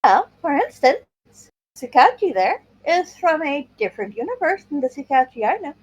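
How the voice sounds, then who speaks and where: Animated woman with a high voice. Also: moderate pace, muffled recording. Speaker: player in a tabletop roleplay game. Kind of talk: improvised roleplay narration and dialogue.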